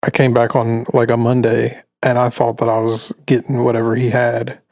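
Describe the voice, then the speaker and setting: A man in his late twenties co-hosting a casual podcast, telling stories in a relaxed, rambling way. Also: mid-range voice, moderate pace, vocal fry, no echo. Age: 20s. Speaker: podcast co-host. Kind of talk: casual chat.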